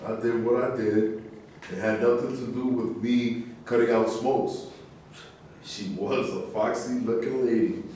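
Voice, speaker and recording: A man's voice: mid-range voice, steady tone, measured pace, mellow, lightly acted. Guy acting a blunt jokey ex boxer, argues back, stage reading, super echoey w faint hiss. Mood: joking. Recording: very echoey room, distant mic, faint noise.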